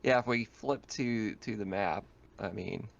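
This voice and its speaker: A man's voice: on the low side, unhurried, creaky, dull audio. A man casually narrating and explaining a tabletop game as game master.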